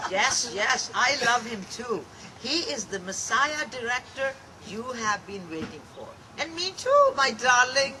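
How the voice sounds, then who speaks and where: A woman performing a comedic reading, playful and laughing, measured in delivery. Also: sits high, big pitch swings. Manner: playful, laughing, slow and deliberate. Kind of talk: live comedic dramatic reading. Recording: distant mic in a noisy live room.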